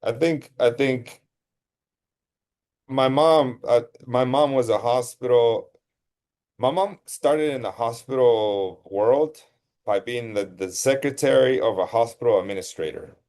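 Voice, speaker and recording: A middle-aged man from Costa Rica, a surgeon telling his life story in a relaxed, rambling way as an interview guest. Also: medium pitch, measured pace. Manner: relaxed, rambling, self-correcting.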